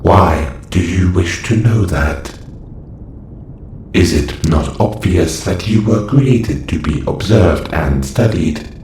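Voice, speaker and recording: A man's voice: low-pitched, expressive, slow, darkish tone. A man voicing a clinical, detached observation robot in an audio roleplay, speaking in a stilted, formal, matter-of-fact way. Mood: detached, instructing. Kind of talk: scripted audio roleplay monologue. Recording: roomy echo with faint noise.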